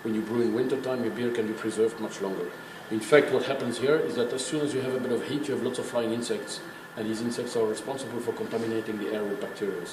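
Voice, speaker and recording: A man's voice: lowish voice, steady tone, fast, few pauses. Guy giving a brewery tour, really opinionated and teachy, echoey room w noise behind him. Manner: emphatic, opinionated, didactic. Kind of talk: informal guided tour explanation. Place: indoors in a working brewery.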